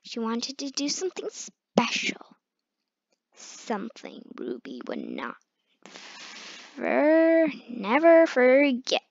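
A woman reads a children's storybook aloud in a fairly high voice. She goes slowly and haltingly, and the recording sounds somewhat muffled. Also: wildly swooping pitch, vocal fry, a little mellow. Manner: halting, stumbles over hard words. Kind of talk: reading a children's picture book aloud. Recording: muffled, echoey room recording.

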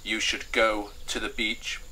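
A man's voice: on the low side, flat delivery, measured pace, pushed and bright, slight background noise, poor audio. A man voicing a talking television set in a storybook, clipped, stilted and emotionless like a machine. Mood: flat, abrupt.

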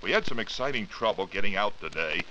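A dull, faintly hissy 1940s radio recording has a thrill-seeking cave explorer. He is boyish, eager and sharp-toned. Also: fairly low pitch, animated, fast talker. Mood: excited. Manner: eager, boyish, breezy storytelling.

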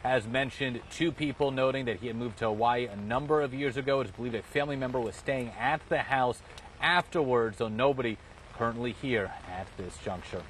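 A young man reporting the news from a residential street, speaking in a measured, clear delivery. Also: medium pitch, fairly bright tone. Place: outdoors on a residential street in rain, under an umbrella. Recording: outdoor field recording from a distance, with faint noise.

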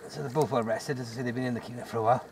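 A man's voice: mid-range voice, fairly even intonation, racing. Bloke in his 40s chatting on a fishing bank, bit far from the mic, faint noise. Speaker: angler. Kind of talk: casual chat. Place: grassy bank of a fishing lake, outdoors. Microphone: camera a few steps away. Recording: distant outdoor camera audio, faint noise.

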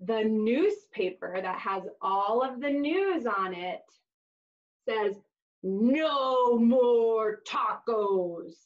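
A woman in her thirties reading a picture book aloud to young children, expressively, with exaggerated emphasis and drawn-out words. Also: a little high, expressive, highs cut off. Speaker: children's storytime reader. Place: small room indoors, seated on floor.

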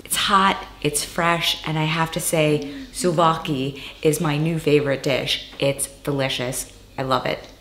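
A woman's voice: deep voice, steady tone, unhurried, fairly bright tone. A woman, talking casually to camera as a travel vlogger indoors. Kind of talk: casual vlog talk to camera. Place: indoors in a small apartment. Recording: echoey rooms, some distant with noise.

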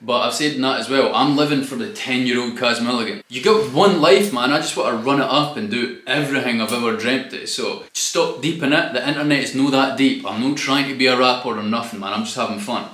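A man's voice: medium pitch, brisk, hardly any pauses, a bit bright, roomy sound. A young man in his twenties with a Scottish accent, hosting a casual chat and talking in broad dialect slang. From Scotland. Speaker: host. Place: home studio room.

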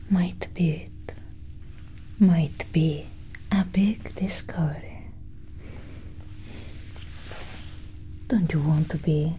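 A young woman playing a calm, condescending female alien scientist in a soft-spoken ASMR roleplay, whispery and soothing, close to the mic. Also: low voice, a little hiss or hum, low-quality recording. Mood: curious, soothing. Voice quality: whispery, breathy. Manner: slow, hushed, reassuring. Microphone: close ASMR microphone.

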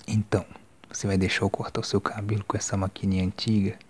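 A young man in his twenties with a Brazilian accent, doing a soft-spoken, whispered ASMR barber roleplay in a casual, slangy way. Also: lowish voice, little pitch movement, quick pace, slightly rough. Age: 20s. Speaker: ASMR creator playing a barber. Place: small decorated indoor room. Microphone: handheld phone microphone up close.

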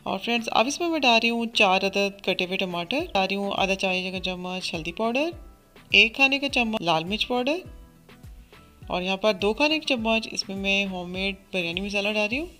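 A woman hosting a cooking channel, narrating a recipe step by step in a steady instructional voice. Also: mid-range voice, a little fast, soft background music. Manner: steady step-by-step instruction, addresses viewers as friends. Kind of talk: recipe voice-over.

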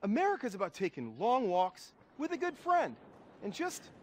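A wide-eyed young sidekick in a game's parody movie. The voice is extremely high and wildly animated, earnest and overwrought. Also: male voice, normal speed, slight background noise. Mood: sincere. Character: naive, earnest, excitable young male protégé. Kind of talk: satirical video game film acting.

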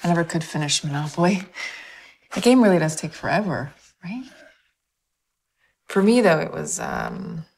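A woman's voice: sits low, wildly swooping pitch, normal speed, creaky, pauses often, a little mellow, a little hiss or hum, heavily acted. An actress playing a guarded captive woman, outwardly meek and secretly defiant, in a naturalistic film drama. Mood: casual, careful.

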